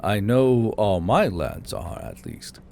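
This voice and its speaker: A gruff, cynical male soldier from a fantasy war audiobook, acted by a man narrator. He talks bluntly and sardonically. There is faint background noise. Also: fairly low pitch, huge pitch swings, slow pace, warm tone, full character voice. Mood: grim, resigned.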